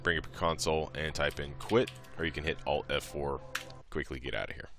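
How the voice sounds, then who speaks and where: A man's voice: extremely low pitch, steady tone, brisk, loud music behind the voice. A man presenting a modding tutorial as a casual screen-recorded walkthrough, explaining each step in an informal, offhand way.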